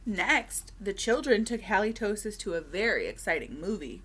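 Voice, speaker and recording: A woman reading a children's storybook aloud expressively, with deliberate dramatic pauses and emphasis. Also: medium pitch, sing-song, background noise.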